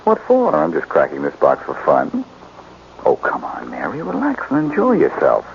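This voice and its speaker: An actor playing a breezy, wisecracking amateur sleuth with an American accent in a 1940s radio detective drama, with a flippant, teasing manner. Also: male voice, deep voice, wildly swooping pitch, normal speed, creaky, a crowd in the background, full character voice. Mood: playful. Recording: dull, noisy 1940s radio recording.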